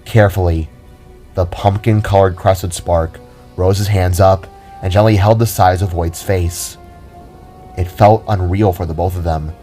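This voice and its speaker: A man's voice: sits low, fairly even intonation, measured pace, dark tone, faint music behind. A man reading scripted, dramatic story narration for an amateur audio drama.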